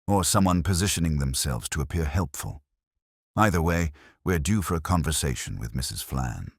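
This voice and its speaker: Mystery inspector, male reader acting: unassuming, measured, probing, very deep and husky. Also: animated, no room sound, studio quality, heavily acted. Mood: quietly probing. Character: quiet, shrewd, unassuming male police inspector.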